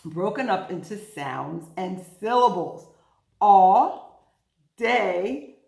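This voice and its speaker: A woman leads a children's storytime lesson with very lively, swooping intonation. She takes her time and stresses her words like a teacher. The room around her voice is clearly echoey. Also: medium pitch. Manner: emphatic, deliberate teacherly delivery with repetition and questions to listeners. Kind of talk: interactive children's read-aloud and vocabulary lesson. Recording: roomy, echoing indoor recording.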